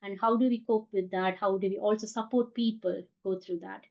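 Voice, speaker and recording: A woman introducing a speaker at an online conference, halting with loose phrasing. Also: medium pitch, fairly even intonation, gravelly. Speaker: session chair. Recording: dull lo-fi video call.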